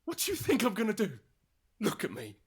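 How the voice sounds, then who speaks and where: A man's voice: high-pitched, wildly swooping pitch, a little fast, breathy edge, full character voice. A man acting a desperate, anguished middle-aged father in a post-apocalyptic audio drama, narrating in the first person with raw intensity. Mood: desperate anger. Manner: intense, emotionally raw, sweary. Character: desperate, anguished father surviving an apocalypse, haunted and guilt-ridden.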